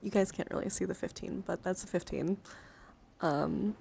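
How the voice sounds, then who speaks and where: A woman livestream host chatting casually, often dropping into soft murmurs and whispers. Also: on the low side, moderate pace, vocal fry. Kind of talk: casual live-stream chat. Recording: steady background noise.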